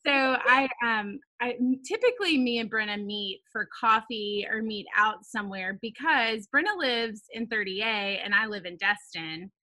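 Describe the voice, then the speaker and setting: A woman, hosting a ministry podcast in a casual chat, laughing often and filling pauses with "um" and "like.". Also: fairly high pitch, pushed and bright, poor audio. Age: 30s. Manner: bubbly, laughs often, fillers like "um" and "like". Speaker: podcast host, founder of a girls' ministry. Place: home, talking over a video call.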